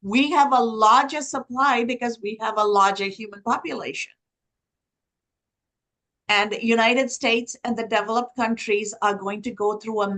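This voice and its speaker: A woman's voice: on the high side, fairly bright tone. A woman from Sri Lanka whose first language is Tamil, speaking on a conference panel and building her points in long lists.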